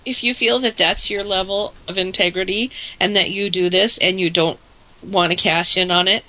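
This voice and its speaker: A woman co-hosting a casual chat show, talking about her writing, with her voice going hoarse. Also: medium pitch, steady tone, moderate pace, sharp tone, rough recording. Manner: laughs often, emphatic agreement. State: losing her voice. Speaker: podcast co-host and writer.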